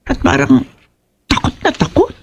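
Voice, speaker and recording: A woman's voice: sits low, wildly swooping pitch, moderate pace. An actress playing an anxious, pleading middle-aged village mother in emotional radio drama. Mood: worried. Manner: earnest, pleading. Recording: loud music behind voice.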